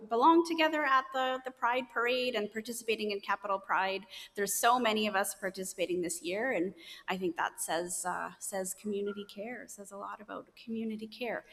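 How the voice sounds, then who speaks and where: A woman's voice: mid-range voice, fairly bright tone. A woman leading a church service, chatting casually through announcements with fillers and restarts. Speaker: service leader. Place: church sanctuary. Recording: long hall reverb, organ music behind.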